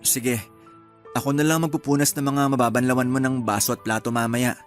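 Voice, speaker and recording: A man playing a gentle, apologetic young husband in a Filipino radio drama, hesitant and placating, with a Filipino accent. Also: mid-range voice, fairly even intonation, a little fast, faint music behind, lightly acted. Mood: helpful. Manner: hesitant, placating, soft-hearted.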